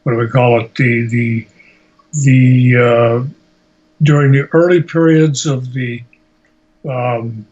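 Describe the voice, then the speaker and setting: Halting, slow-spoken man of eighty. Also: medium pitch, fairly even intonation, darkish tone. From Slovakia and Hungary, later United States. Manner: halting, deliberate, measured, searching for words. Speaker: interviewee, Holocaust survivor. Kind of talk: personal life story told in an interview. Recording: dull, lo-fi amateur recording.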